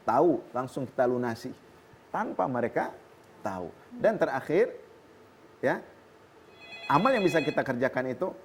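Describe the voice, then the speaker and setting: An Indonesian man preaches at an easy pace in a television studio. His voice sits at an average pitch, and faint noise hums behind it. He quotes Arabic scripture and then explains each point. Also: moderate pace, pauses often. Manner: calm preaching, quoting Arabic scripture and translating it. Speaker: Islamic preacher answering viewer questions. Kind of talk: religious talk on a television program.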